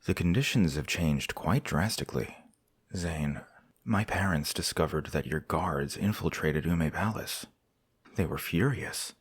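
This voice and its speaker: Composed, very deep-voiced prince , acted by a man. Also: slightly rough, no room sound, clean studio sound, full character voice. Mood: grave, measured. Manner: measured, formal. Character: courteous, composed visiting foreign prince, a candid friend. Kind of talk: audio drama acting.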